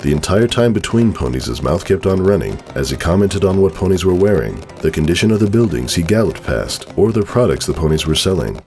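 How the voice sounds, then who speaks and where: A man's voice: deep voice, lively intonation, fairly quick, few pauses, dark tone, faint music behind. A man giving a dramatic storybook narration of a fan fiction tale.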